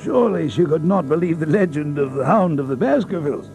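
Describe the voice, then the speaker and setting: Earnest doctor-narrator, formal literary delivery, slightly raised pitch, music beneath. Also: male voice, lively intonation, darkish tone. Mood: pensive, uneasy. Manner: measured, literary, formal phrasing. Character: Doctor Watson, earnest, thoughtful English gentleman doctor. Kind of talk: dramatized audiobook narration and radio-play acting. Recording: long reverb, music underneath.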